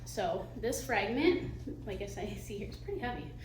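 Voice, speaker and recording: A soft-spoken woman, a museum director, giving a live fundraising pitch with nervous little laughs and many ums. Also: lively intonation, moderate pace. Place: meeting hall with audience. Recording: echoey hall from far off.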